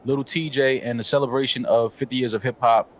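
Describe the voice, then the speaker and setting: A man co-hosting a talk show, giving opinions in a measured, filler-heavy way. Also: mid-range voice, fairly even intonation, slight background noise. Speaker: podcast co-host. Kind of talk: casual chat.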